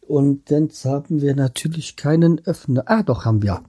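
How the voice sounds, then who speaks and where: A man's voice: fairly high pitch, normal speed, mellow, slightly rough, dry recording. A man from the Hanau area, chatting casually to his viewers at home in a rambling way, trailing off and restarting with many fillers. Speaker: vlogger. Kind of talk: casual chat to camera.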